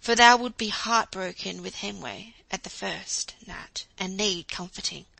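Lady reading as a kindly old professor, warm and earnest, bright voice, dry sound. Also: medium pitch, measured pace, gravelly, no echo, heavily acted, low-quality recording. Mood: genial. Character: grey-haired genial older German professor, man.

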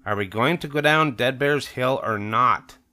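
Man playing a bold young girl bear cub, pitched up. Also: a little slow, sharp tone. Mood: bold, daring.

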